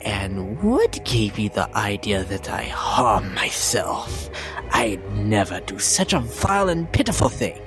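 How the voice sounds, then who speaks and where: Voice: mid-range voice, sing-song, slow pace. Someone voicing a theatrical, swooping, unhinged lady pony. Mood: indignant, haughty. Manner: theatrical, genteel, fussy, affected, swooping. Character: vain, unhinged, lovesick lady pony with prim theatrical airs. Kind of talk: dramatic reading of a fan-made character blog. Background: dramatic instrumental music.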